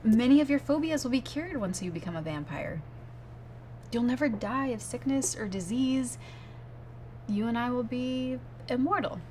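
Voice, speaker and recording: A woman's voice: fairly high pitch, animated, normal speed, darkish tone, a little hiss or hum, close to an everyday voice. A young woman playing a headstrong, romantic goth fiancée in a comedic short film, insistent and dramatic as she persuades. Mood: dreamy, persuasive.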